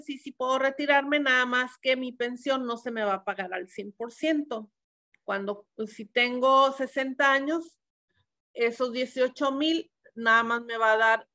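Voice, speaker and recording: Woman from Mexico, middle-aged, webinar speaker, methodical, fillers and self-corrections, slightly high voice. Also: pushed and bright. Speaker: accountant giving a webinar. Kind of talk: explaining pension rules.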